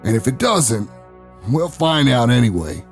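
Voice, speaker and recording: A man playing a brash, hot-tempered ex-wrestler turned radio show host, gruff and plain-spoken, in an audio drama. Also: medium pitch, very animated, a little slow. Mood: confident, defiant. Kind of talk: audio drama narration and dialogue. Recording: music bed under the voice.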